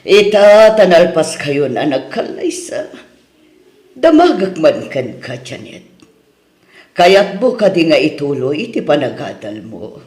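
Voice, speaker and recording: A woman's voice: deep voice, very animated, slow, darkish tone, full character voice. A woman playing a protective, reluctant older mother in an Ilocano radio drama, with an Ilocano accent. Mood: stern but supportive. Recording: roomy echo.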